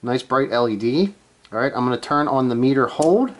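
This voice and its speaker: A man giving a casual, hands-on gear demonstration, halting and unscripted as he thinks aloud from behind the camera in a room at home. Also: medium pitch, animated. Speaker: amateur radio hobbyist, presenter. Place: small room at home, indoors.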